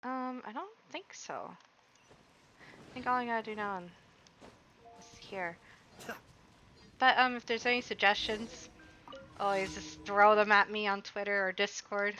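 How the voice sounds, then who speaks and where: A woman's voice: medium pitch, steady tone, a little slow, pauses often, sharp tone, faint music behind, poor audio. A woman streamer chatting casually and rambling while she plays a game, talking back to the characters on screen. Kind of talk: casual chat while gaming.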